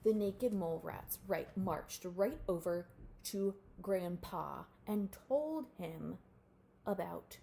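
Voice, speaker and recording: A woman reading a storybook aloud to children expressively, with animated asides to her listeners. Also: fairly low pitch, slow pace, creaky, slight background noise. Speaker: storybook reader.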